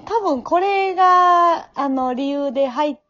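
A woman's voice: high voice, takes it slowly, fairly bright tone, muffled recording. A woman chatting casually as a podcast co-host, explaining things in short pieces, recorded remotely from home. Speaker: podcast co-host, amateur actor. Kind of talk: casual chat.

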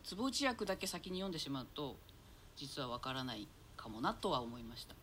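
A woman's voice: a bass voice, sing-song, brisk, fairly bright tone, slight background noise. A woman chatting casually as a guest actor on a talk show. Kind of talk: casual chat.

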